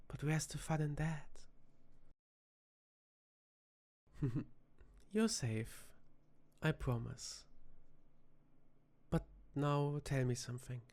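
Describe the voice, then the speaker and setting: A man playing a flirty, charming vampire bartender in a soft-spoken ASMR roleplay, teasing and seductive in an unhurried way. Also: fairly high pitch. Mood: teasing, playful.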